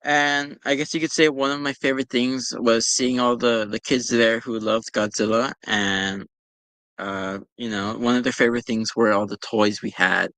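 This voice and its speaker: A man's voice: mid-range voice, steady tone, normal speed, a bit bright, dry recording. A young man chatting casually about working at a store, rambling with lots of ums and you-knows. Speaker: former pop-up store worker answering questions. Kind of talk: casual chat.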